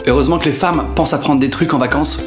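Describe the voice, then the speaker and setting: A man's voice: fairly high pitch, steady tone, quick pace, reverberant, music in the background. A man from northern France, a comedian talking casually to camera in a self-mocking way and swearing freely. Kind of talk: comic sketch narration to camera.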